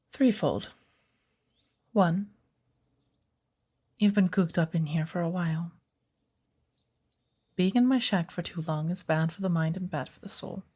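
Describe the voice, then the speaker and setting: Woman playing a husky, hushed woodland witch mentor. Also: lowish voice. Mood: calm, fond. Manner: measured, deliberate, formal, often hushed and breathy. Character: patient witch mentor of the woods, gently teaching magic. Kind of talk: ASMR roleplay.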